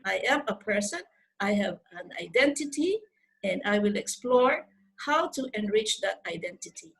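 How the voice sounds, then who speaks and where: An older woman from the Philippines with a Filipino accent, giving an earnest, deliberate motivational talk as a mentor on a video call. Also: medium pitch, lively intonation, creaky. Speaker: life coach and programme mentor. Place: indoors on a group video call. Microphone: video call microphone.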